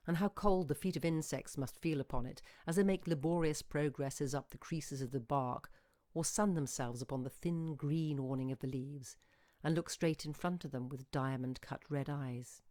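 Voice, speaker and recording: A woman's voice: low voice, no echo. Woman reading literary fiction, close dry sound. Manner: measured, expressive phrasing with deliberate pauses. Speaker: storyteller reading a literary short story. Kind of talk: dramatic literary narration.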